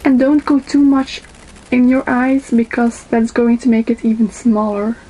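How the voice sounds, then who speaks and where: A young woman in her twenties with a Dutch accent, whose first language is Dutch, giving a makeup tutorial into a webcam in a small bedroom, pausing often with ums as she works. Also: a little high, little pitch movement, over music, rough recording. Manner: unhurried, with frequent ums and pauses while applying makeup. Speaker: beauty vlogger. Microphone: webcam in front of her.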